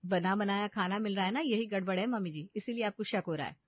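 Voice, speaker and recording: A woman's voice: mid-range voice, fast talker, no echo, muffled recording, close to an everyday voice. A woman voicing a scheming young daughter-in-law in a moral story, sugary to elders and smug when plotting. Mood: defensive. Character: scheming, self-satisfied young daughter-in-law cutting corners in the kitchen.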